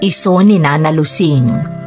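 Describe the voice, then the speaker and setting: A woman's voice: deep voice, a little slow. A woman playing a troubled young daughter working overseas, in a radio drama with a Filipino accent. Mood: sorrowful recounting. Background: music behind the voice.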